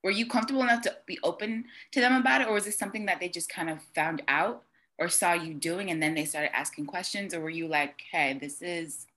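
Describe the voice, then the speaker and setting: A woman's voice: lowish voice, fairly even intonation, brisk, bright, forceful tone. A woman hosting a podcast, speaking in an empathetic, conversational way and affirming her guest often. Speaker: podcast host. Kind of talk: conversational interview.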